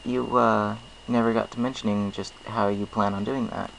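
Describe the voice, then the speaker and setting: A man plays a self-conscious storybook pony, awkward and unsure. The speech is halting and nervous, with a creaky edge and faint noise underneath. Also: fairly low pitch, flat delivery, fairly bright tone, poor audio. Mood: awkward, puzzled.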